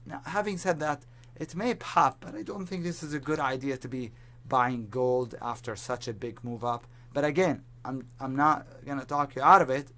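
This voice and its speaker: A man giving market commentary whose voice is strained from teaching, talking in a halting way with many restarts. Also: mid-range voice, a bit bright. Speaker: market analyst.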